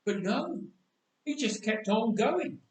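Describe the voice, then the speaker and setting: Wry young man of Bible times, acted by a male reader, high animated voice, conversational monologue in a roomy space. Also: slow pace, heavily acted. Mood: indignant. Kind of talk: dramatic first-person storytelling monologue. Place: church, voice echoing. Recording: roomy church echo, distant microphone.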